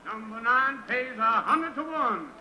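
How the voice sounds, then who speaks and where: An actor playing a brash carnival barker with showy sing-song patter, in the style of 1940s radio drama. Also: male voice, extremely high pitch, a little slow, roomy sound, muffled recording. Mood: showy, excited. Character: brash male carnival barker running a wheel of chance.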